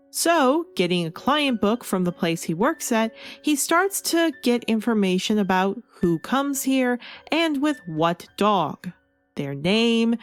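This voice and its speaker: A woman delivering scripted video essay commentary as a review host, explaining her opinions and stressing key words. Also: mid-range voice. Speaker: comic book review host.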